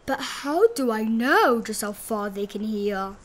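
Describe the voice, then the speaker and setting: A woman voicing a big, grumpy male bear from a children's storybook, gruff, scornful and challenging. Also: a little high, animated, slow, very noisy. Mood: sneering. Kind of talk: children's storybook character reading.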